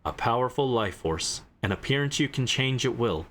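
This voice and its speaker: Man acting calm investigator-commander, game dialogue, formal, measured, eloquent, mid pitch, faint noise. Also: natural voice. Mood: calm, accusing.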